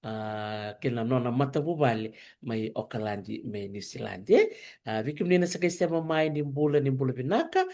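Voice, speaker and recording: A host, interviewing a guest in casual chat and asking gentle questions with many hesitant fillers. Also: mid-range voice, big pitch swings, brisk. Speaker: talk show host interviewing a student. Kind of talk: casual interview chat, switching between Fijian and English.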